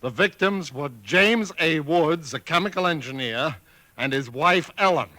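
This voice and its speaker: An actor playing a businesslike man reading aloud a newspaper clipping in a brisk, matter-of-fact way. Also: fairly high pitch, lively intonation, pushed and bright, no room sound. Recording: 1940s radio broadcast, dry sound.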